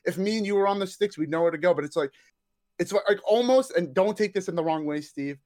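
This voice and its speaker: A man appearing as a podcast guest, eager and excitable, rambling happily in casual chat. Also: high-pitched, quick pace.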